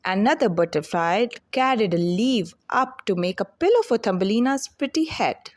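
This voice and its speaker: A woman reading a children's fairy tale aloud as an expressive, deliberate storyteller. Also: medium pitch.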